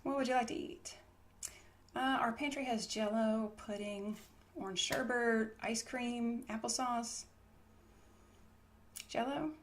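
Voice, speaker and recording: A woman's voice: fairly high pitch, steady tone, slow pace, pauses often, slight background noise. A woman doing an ASMR medical roleplay as a nurse, explaining each step in a casual, reassuring bedside way. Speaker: ASMR creator playing a trauma nurse.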